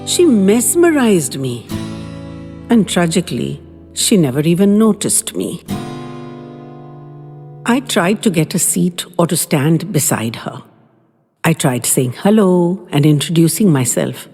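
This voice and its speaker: Woman telling a story, mellow voice that swoops up and down a lot. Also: on the low side, unhurried, long pauses. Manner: expressive, lively storytelling read. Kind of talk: scripted first-person story narration. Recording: long reverb with faint guitar music.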